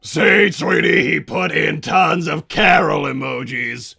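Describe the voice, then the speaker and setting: A man voicing a pompous, hot-tempered cartoon villain doctor and strict, doting papa, raspy and blustering, chatting in character on a livestream. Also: a little high, slow pace, bright, forceful tone, soft background music, full character voice. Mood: indignant, firm. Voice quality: gravelly, raspy. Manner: blustering, bombastic. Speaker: livestream host.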